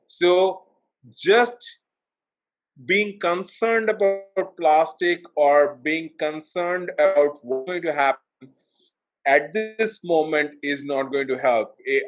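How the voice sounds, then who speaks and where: A man speaking as a professor on an online panel over a video call, thoughtful and halting with fillers. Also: a little high, fairly bright tone, rough recording.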